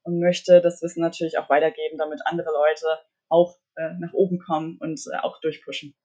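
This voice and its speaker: Energetic young woman telling her founder story. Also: low-pitched, fairly quick, dull audio. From Mecklenburg, Germany. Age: young adult. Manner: energetic, many fillers and restarts, English buzzwords. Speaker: tech founder, guest. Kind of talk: conversational podcast interview.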